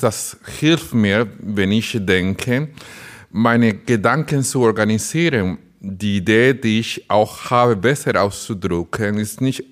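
A man from the Dominican Republic whose first language is Spanish, speaking with a Spanish accent as a guest on a podcast, earnest and slow, often searching for words. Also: mid-range voice. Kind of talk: podcast interview.

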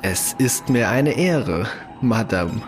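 A man's voice: mid-range voice, animated, takes it slowly, faint music behind. A man playing a defiant, wry human fugitive in a science fiction audio drama, given to swearing. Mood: wry relief.

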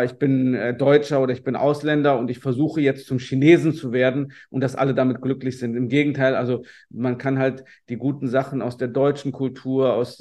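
A man from Germany, a podcast guest, answering questions in a relaxed, anecdotal way with many fillers and restarts. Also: mid-range voice. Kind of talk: interview answers, storytelling.

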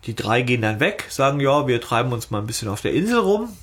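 A man's voice: medium pitch, big pitch swings, quick pace, over a noisy crowd. A man co-hosting a podcast, chatting casually and colloquially as he retells and discusses a story. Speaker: podcast host. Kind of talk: casual chat retelling and discussing an audio drama.

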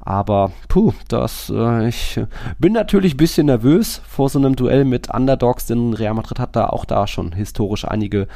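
Mellow-voiced man discussing football. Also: medium pitch, expressive, normal speed, no echo, studio quality. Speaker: football podcast host. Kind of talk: casual football analysis and preview.

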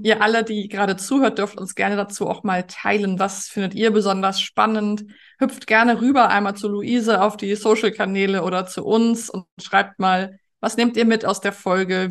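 A woman hosting a podcast interview in a chatty, conversational way, speaking directly to her guest and listeners. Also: medium pitch.

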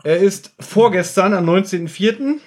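A man's voice: high-pitched, animated, normal speed, a little mellow. A man hosting a podcast, chatting casually as he recaps an audio drama. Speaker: podcast host.

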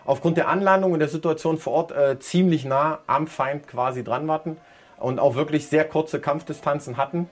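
Quiet man recalling combat, distant from the mic. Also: a little high, moderate pace. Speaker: soldier, sniper. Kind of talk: recorded interview account.

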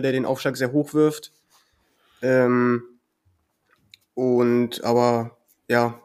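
A man with a cold, a guest on a podcast, answering calmly with hesitant filler pauses. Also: mid-range voice. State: has a cold. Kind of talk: podcast interview.

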